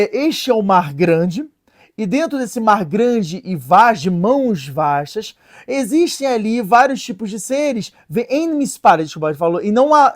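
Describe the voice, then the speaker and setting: A woman presenting a casual lesson in an animated, joking way, stopping to read and translate sources. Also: mid-range voice. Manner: animated, playful, joking asides; reads and translates sources aloud. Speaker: religious video presenter.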